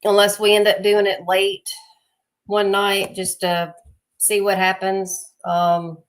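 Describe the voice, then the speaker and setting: A woman's voice: medium pitch, completely flat delivery, slow pace. A woman with a Southern accent, chatting casually and laughing on a livestream while she sets up a printer. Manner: chatty, laughs often, folksy talk. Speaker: livestream co-host.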